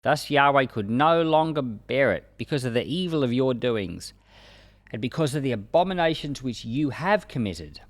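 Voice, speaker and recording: Man, mid-pitched voice, highly expressive intonation, scripted Bible reading, solemn declamation, dry recording. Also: no room sound. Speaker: Bible reader and teacher.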